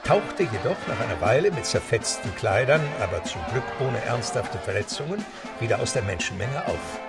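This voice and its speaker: A man narrating a radio play with measured storytelling. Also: mid-range voice, sing-song, gravelly. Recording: music beneath narration.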